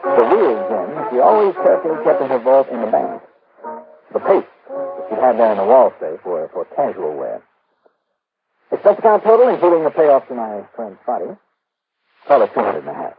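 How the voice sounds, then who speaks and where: A man's voice: mid-range voice, huge pitch swings, moderate pace, vocal fry, soft background music. An American actor playing a wry, hard-boiled male insurance investigator in a classic radio drama, talking colloquially. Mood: brisk narrating. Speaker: lead actor of a detective series. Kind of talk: classic radio detective acting. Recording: narrow-band, noisy old radio recording.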